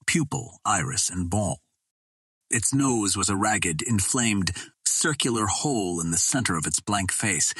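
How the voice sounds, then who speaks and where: A man's voice: on the low side, fairly bright tone, husky, no echo. A man reading an adventure story aloud as a scripted first-person narration. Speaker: audiobook reader.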